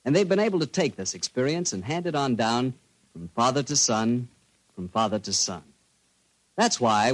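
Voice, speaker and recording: A man's voice: medium pitch, low-quality recording. A man with an American accent, hosting and reading sponsor messages as a polished, folksy 1940s radio announcer.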